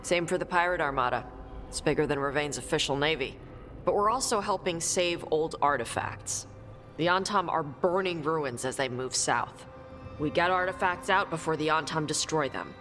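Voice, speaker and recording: A woman voicing a blunt young dragon hunter who talks in short, gruff, dismissive lines in a game cutscene. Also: deep voice, normal speed, a bit bright, soft background music. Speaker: treasure hunter. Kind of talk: video game cutscene dialogue.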